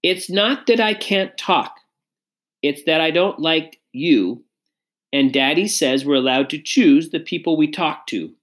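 Little girl played by a woman, storybook character, raised pitch, earnest, stubborn, plain-spoken. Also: expressive, a little slow. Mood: defiant.

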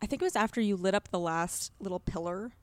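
A young woman chatting casually as a podcast guest about a video game, rambling in an animated way and often saying "like". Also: mid-range voice, quick pace, dry recording. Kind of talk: casual chat.

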